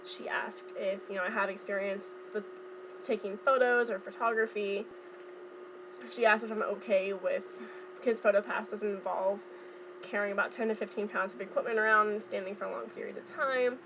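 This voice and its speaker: A young woman talks in a casual vlog style. Her voice sits at an average pitch for a woman. She speaks informally, pausing and picking her way as she recalls details, over noticeable background noise. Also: fairly even intonation. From Southern California. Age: young adult. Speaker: vlogger. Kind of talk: casual chat vlog, recounting from memory.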